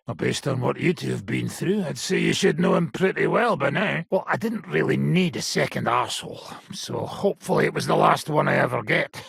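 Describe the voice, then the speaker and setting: An actor playing a gruff, battle-worn old robber baron in a video game, raspy, blunt, coarse and sardonic. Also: male voice, a little high, fairly bright tone, no echo, studio quality, full character voice. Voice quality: gravelly, very raspy. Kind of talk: video game character acting.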